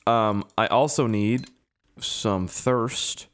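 Young male gaming host, voice hoarse and a bit low, rambling and swearing, self-deprecating. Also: very slow, a bit bright. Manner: rambling, profane, self-mocking. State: hoarse, strained voice. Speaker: gaming YouTuber. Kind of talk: casual let's-play commentary.

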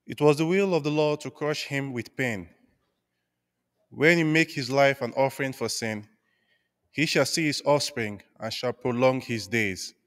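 A man's voice: mid-range voice, unhurried. A young man reading scripture steadily at a lectern microphone in a large church. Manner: steady, careful reading aloud. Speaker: lector. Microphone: mic on a stand at the lectern. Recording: long church reverb.

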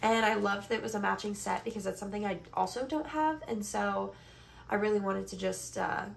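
A young woman with a slightly stuffed-up, congested voice talks in a chatty, informal vlog style. Her pitch is average for a woman. It is recorded on a phone in a bedroom, with clear background noise. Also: brisk. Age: 20s. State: congested from allergies. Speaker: vlogger. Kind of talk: casual haul chat. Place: bedroom, indoors. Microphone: phone camera at about arm's length or further.

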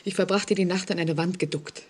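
An actress playing an anxious, distrustful woman in an old German radio play, speaking haltingly with suspenseful drama. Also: fairly low pitch, fairly even intonation, slightly rough. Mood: haunted, anxious. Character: frightened, haunted woman living alone, anxious and distrustful. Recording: vintage 1940s radio recording.

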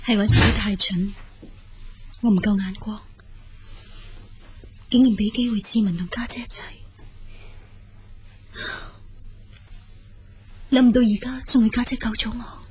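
An actress playing a regretful, grateful younger sister in a Cantonese radio drama, with a Hong Kong accent. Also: female voice, fairly high pitch, steady tone, moderate pace, long pauses, slight background noise, lightly acted.

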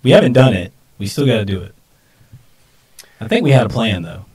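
A man's voice: lowish voice, expressive, a little fast, darkish tone, recorded from a distance. A man in his early forties chatting casually and off the cuff as co-host of a live stream at a desk microphone.

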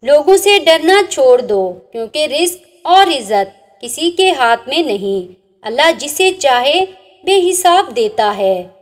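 A woman reading inspirational religious sayings aloud in a measured recitation, with each saying ending on a falling, softer note. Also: high-pitched, very animated. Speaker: narrator.

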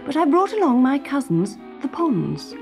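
A woman's voice: high-pitched, sing-song, normal speed, creaky, music in the background, voice pushed up, heavily acted. A woman voicing the rain as a courteous, apologetic guest in a children's storybook. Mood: politely apologetic.

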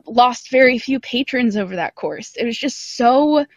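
A woman's voice: on the high side, expressive, measured pace, dry recording. A woman chatting casually and informally as a podcast guest over a remote call. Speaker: podcast guest, audio drama creator. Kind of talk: casual interview chat. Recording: slightly dull lo-fi call audio.

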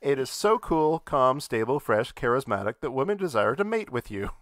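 A man's voice: mid-range voice, lively intonation, moderate pace, a bit bright, no room sound, clean studio sound. A man hosting a podcast in casual, comedic banter. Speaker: podcast host.

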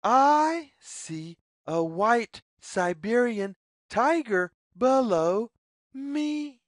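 A woman reading a children's picture book aloud as storyteller, with deliberate, sing-song phrasing. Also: fairly high pitch, expressive, no room sound, studio quality.

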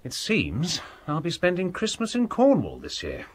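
An actor playing a reserved, bookish young English student, polite, dry and a little hesitant, in a radio drama. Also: male voice, a little high, huge pitch swings, normal speed, very noisy. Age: young adult.

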